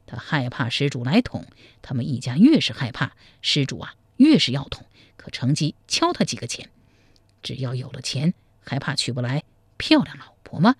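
A man storyteller voicing a sly, scheming male Buddhist monk who counsels in a slow, hushed manner. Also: mid-range voice, slightly rough, no room sound, voice pushed down. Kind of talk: storytelling, acted dialogue.

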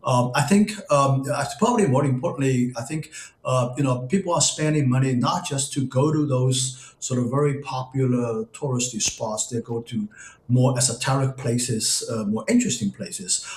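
A man's voice: medium pitch, moderate pace. Hesitant man on an echoey remote link. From China. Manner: hesitant, many fillers and restarts. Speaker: economics professor guest. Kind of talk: live news interview, unscripted. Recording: roomy echo on remote link.

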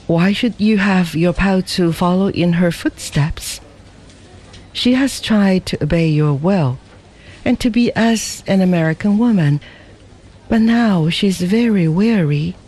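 A man's voice: high voice, rough recording. A man reading as a meek, humble young wife. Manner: humble, gentle. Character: timid young wife writing a meek farewell letter.

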